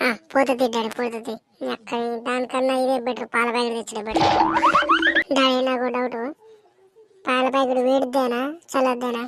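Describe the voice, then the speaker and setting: A woman's voice: on the high side, little pitch movement, a little fast, music in the background, close to an everyday voice. A woman voicing a whiny, crude and defiant young village son in an amateur cartoon comedy, with a Telangana Telugu accent. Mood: defiant whining.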